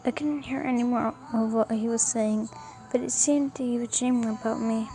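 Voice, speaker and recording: A woman reading a first-person story aloud as an amateur narrator, haltingly, often stumbling and rereading words. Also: a little high, fairly even intonation, dark tone. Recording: music behind the voice. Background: music.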